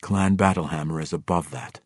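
A man voicing a principled, resolute male drow ranger who speaks in a measured, firm way. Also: very deep voice, a little mellow, breathy edge, no room sound, clean studio sound.

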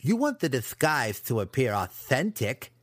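A man voicing a sly, cruel young male dark elf apprentice in an audiobook, taunting as he schemes. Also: medium pitch, big pitch swings, measured pace, dry recording, studio quality. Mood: matter-of-fact menace. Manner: taunting, matter-of-fact scheming. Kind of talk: audiobook character acting.

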